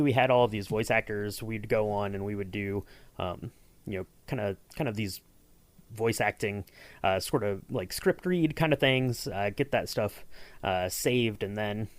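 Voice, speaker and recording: A man co-hosting a podcast, chatting casually and rambling with lots of fillers and restarts. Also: lowish voice. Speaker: podcast co-host. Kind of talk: casual behind-the-scenes chat.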